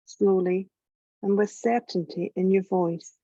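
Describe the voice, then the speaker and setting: Soft mellow woman guiding meditation. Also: medium pitch, no room sound, dull audio. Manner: gentle, calm, soothing, measured with long pauses. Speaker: yoga nidra meditation teacher. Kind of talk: slow guided relaxation meditation narration.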